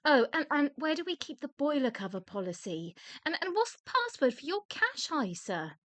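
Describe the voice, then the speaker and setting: Exasperated widow, high bright voice. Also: female voice, very animated, no echo. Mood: brisk, impatient. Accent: British. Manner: brisk, dry, exasperated. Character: exasperated, matter-of-fact widow nagged by her dead husband. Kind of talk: radio play dialogue.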